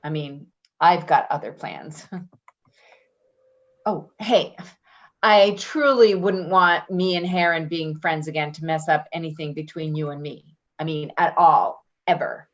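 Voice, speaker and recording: Offhand, evasive female friend played by a woman, lower voice, unhurried, slightly dull recording. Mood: breezy. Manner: offhand, giggly, hedging. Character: breezy, evasive, self-serving female friend. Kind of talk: play reading, casual dramatic dialogue.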